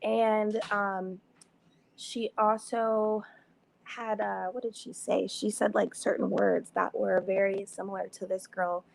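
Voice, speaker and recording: A woman's voice: mid-range voice, little pitch movement, takes it slowly, gravelly, poor audio. A woman calling in to a live panel, chatting casually and explaining her point at length. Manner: chatty, explains at length, sprinkles in quoted thoughts. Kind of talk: casual live-stream chat.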